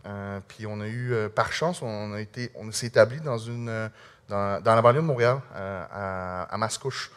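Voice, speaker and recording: A man with a Québécois French accent, telling an audience his personal testimony in an earnest, halting, conversational way. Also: fairly low pitch, fairly bright tone, clear, resonant tone. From Quebec. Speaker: speaker sharing a personal testimony.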